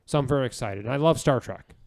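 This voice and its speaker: A man's voice: mid-range voice, fast, creaky, a little mellow. A man co-hosting a podcast, chatting casually and enthusiastically in a rambling, gushing way that repeats phrases. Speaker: podcast co-host.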